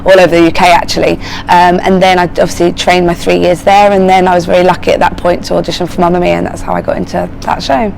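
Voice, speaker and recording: A woman's voice: lowish voice, flat delivery, quick pace, gravelly, hardly any pauses, a little mellow, a little hiss or hum, poor audio. A woman working as a choreographer, answering interview questions in an upbeat, emphatic way. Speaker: choreographer and casting director.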